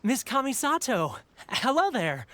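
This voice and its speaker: An actor playing a cheerful, obliging fortune-stall keeper in a video game, chirpy and deferential. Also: male voice, very high-pitched, huge pitch swings, measured pace, sharp tone, exaggerated voice. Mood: cheerful, welcoming. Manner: chirpy, deferential, eager to please.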